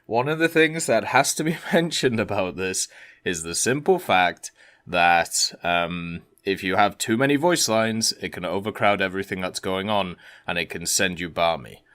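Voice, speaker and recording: A man's voice: low voice, animated, normal speed, a bit bright. A man giving casual, rambling commentary as the host of a gaming video.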